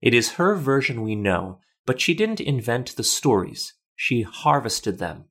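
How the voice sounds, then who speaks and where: A man hosting a podcast, reading scripted documentary narration in a measured, literate, explanatory way. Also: mid-range voice, very animated.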